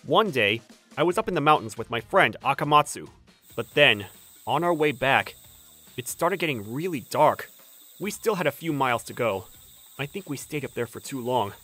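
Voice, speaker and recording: A man's voice: medium pitch, animated, normal speed, pushed and bright, faint music behind. A young man playing a mild, sensible but easily spooked college student in a manga dub. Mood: calm narrating. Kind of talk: manga dub narration and dialogue.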